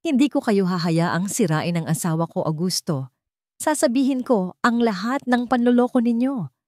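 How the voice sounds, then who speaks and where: A woman's voice: medium pitch, lively intonation, normal speed, warm tone, no room sound, pitched above the performer's own voice, lightly acted. Woman acting protective wife, defiant, vehement, Filipino accent, audio storybook, dry sound. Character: defiant wife threatening to expose powerful crooks.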